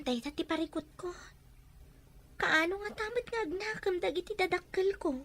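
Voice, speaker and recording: A woman's voice: extremely high pitch, moderate pace. A woman playing an upset grown daughter who supports her parents, in an Ilocano radio drama, with an Ilocano accent. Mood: subdued, worried.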